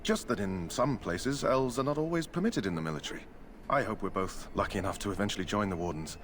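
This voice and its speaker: Low-voiced earnest young knight, noisy backdrop. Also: male voice, animated, quick pace, fairly bright tone. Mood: hopeful, earnest. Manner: formal, polite diction. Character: earnest human knight recruit, eager and courteous. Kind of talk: scripted fantasy game dialogue.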